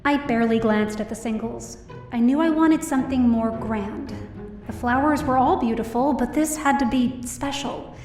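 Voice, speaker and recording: A woman's voice: on the high side, normal speed, darkish tone, close to an everyday voice. An actress playing an anxious young woman who narrates her own story in an audio drama, confiding and self-deprecating. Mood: wistful, hopeful. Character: anxious, downcast young woman, daughter of a hospitalized mother. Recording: roomy, distant-sounding voice over music.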